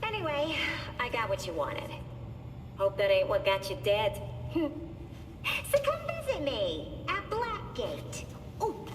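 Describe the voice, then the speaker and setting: A woman's voice: a little high, huge pitch swings, slow, pauses often, heavily acted. A woman playing a playful, taunting female villain in a video game, teasing and theatrical, sometimes slipping into whispers. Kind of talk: video game cutscene acting. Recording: long reverb, clear background noise.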